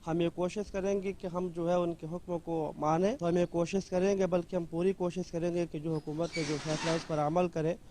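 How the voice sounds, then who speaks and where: A man's voice: fairly high pitch, little pitch movement, normal speed, few pauses, dark tone. A man being interviewed for the news, making an earnest formal statement to a reporter. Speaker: interviewee giving news soundbite. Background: faint ambient noise.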